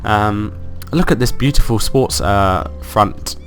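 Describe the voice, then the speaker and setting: A man with a croaky voice from being ill, hosting casual gameplay commentary and thinking aloud in an upbeat, rambling way. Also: on the low side, takes it slowly, fairly bright tone, faint music behind. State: croaky from illness. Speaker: let's play host.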